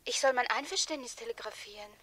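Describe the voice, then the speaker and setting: An excitable middle-aged woman in love, in a naturalistic radio play. Her voice is on the high side and recorded very dry. She talks in a flustered, emotional way. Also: fast, dry recording. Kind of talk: naturalistic radio drama acting.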